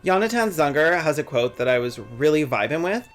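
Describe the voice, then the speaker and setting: A man's voice: a little high, big pitch swings, fairly quick, soft background music. A young man delivering a scripted video essay, reading quotes and dropping sarcastic, profane jokes. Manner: sardonic, profane asides.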